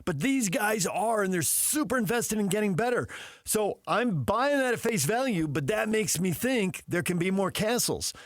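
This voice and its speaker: A man with a slightly high voice, recorded dry and close, hosts a sports radio debate. He builds his case insistently, point after point, in conversational talk. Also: expressive, moderate pace, no echo. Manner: insistent, argumentative.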